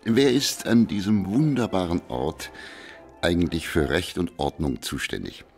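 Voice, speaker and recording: Deep, gravelly hardboiled private detective. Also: male voice, unhurried, a little mellow, soft background music. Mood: brisk, matter-of-fact. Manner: laconic, terse, sardonic asides. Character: hardboiled private detective, dry, weary, wry. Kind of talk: German radio crime drama acting.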